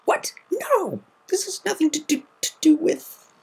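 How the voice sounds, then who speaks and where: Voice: high voice, big pitch swings, measured pace, gravelly, pitched above the performer's own voice, exaggerated voice. A reader performing a brash, proud cartoon pegasus mare, defensive and hurting underneath, in a dramatic fan fiction reading. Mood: flustered.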